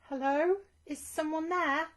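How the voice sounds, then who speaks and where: A woman's voice: sits high, steady tone, takes it slowly, a bit bright, pitched above the performer's own voice. A woman voicing a timid, kind young male knight from a children's storybook, nervous and earnest. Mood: frightened.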